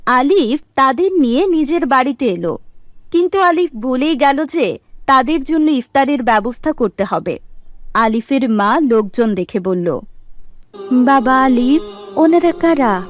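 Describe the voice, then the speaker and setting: A woman's voice: high voice, animated, a little slow, no room sound. A woman narrating a scripted cartoon moral story.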